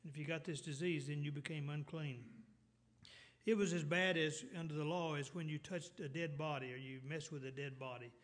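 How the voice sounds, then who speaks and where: A middle-aged preacher with a Texas drawl, his voice sitting a little high for a man. He works through his sermon in loose, rambling sentences, doubling back and restarting often. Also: steady tone, a little fast. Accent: Texan. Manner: rambling, many restarts and fillers, clears throat.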